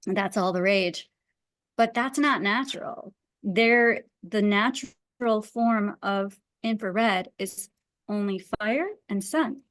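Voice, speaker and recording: A woman's voice: mid-range voice, slow pace, fairly bright tone, no room sound. A woman speaking as a guest expert in an interview, explaining her ideas conversationally in an earnest, emphatic way. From Pakistan. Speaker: guest wellness and design expert.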